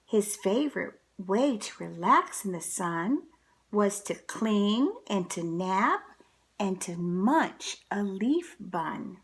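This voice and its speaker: A woman reading a picture book aloud to children, with deliberate, dramatic, expressive storytelling. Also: medium pitch.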